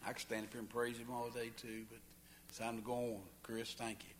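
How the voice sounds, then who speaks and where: A pastor leads the service in a mid-range voice, fervent and quick to exclaim. He calls out amens between testimonies and urges the congregation to praise. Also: mid-range voice, completely flat delivery, background noise. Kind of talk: leading a church service. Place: church sanctuary.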